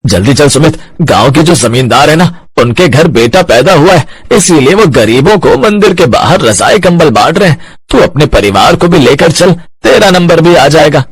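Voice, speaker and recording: A man playing an eager, helpful village friend in a storybook tale, urging in a husky voice. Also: fairly high pitch, very animated, moderate pace, hardly any pauses, dry recording, pitched below the performer's own voice, full character voice. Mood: excited, urging. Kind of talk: storybook character acting.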